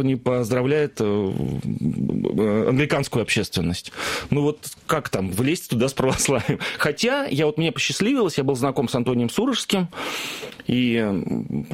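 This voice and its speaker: A man, a producer of Orthodox projects, telling anecdotes as a radio-show guest, with lots of fillers and restarts. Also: mid-range voice. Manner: lively storytelling, many fillers and restarts. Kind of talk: anecdotal interview talk.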